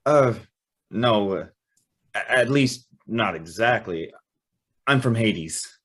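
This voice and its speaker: A man's voice: lowish voice, lively intonation, takes it slowly, a bit bright, husky, heavily acted. An actor playing an earnest, awestruck young man who also narrates an old-time radio drama. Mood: shy, smitten. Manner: hesitant, stammering in dialogue.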